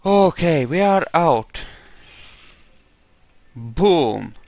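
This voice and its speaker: A man's voice: fairly high pitch, very animated, very slow, creaky, a little mellow, no echo. A man giving casual commentary while he plays through a video game in a roleplaying style. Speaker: gamer doing a roleplay playthrough.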